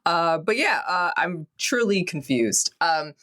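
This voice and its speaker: Female tabletop player, notably low voice, casual reactive podcast chat. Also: lively intonation, slow pace, a bit bright.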